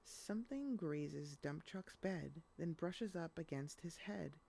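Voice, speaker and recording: A woman reading a rhyming children's picture book aloud in an expressive storytelling style. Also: low-pitched, slow, gravelly.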